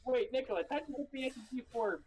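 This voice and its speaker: A man's voice: very high-pitched, very fast, darkish tone, slight background noise. A young man chatting casually with friends on a group call.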